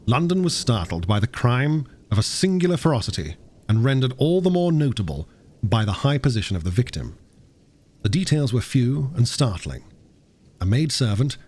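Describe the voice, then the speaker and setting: A man reading classic literature aloud as an audiobook narrator, expressively and with dramatic, deliberate phrasing. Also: medium pitch, a little mellow. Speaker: audiobook reader.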